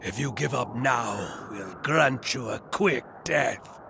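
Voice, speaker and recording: Cruel male warlord, slow gloating threats, gravelly, sharp-edged tone. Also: mid-range voice, lively intonation, slight background noise, full character voice. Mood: menacing. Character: menacing male warlord offering cruel terms. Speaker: villain. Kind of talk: video game cutscene acting.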